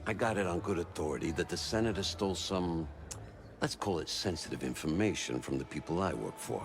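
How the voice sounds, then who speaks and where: An actor playing a smug, wry spy fixer with a gravelly voice and a dry, knowing delivery in a video game cutscene. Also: male voice, deep voice, brisk. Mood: smug, coaxing. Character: smug, wry old-school spy fixer doing shady deals. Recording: loud music behind the voice.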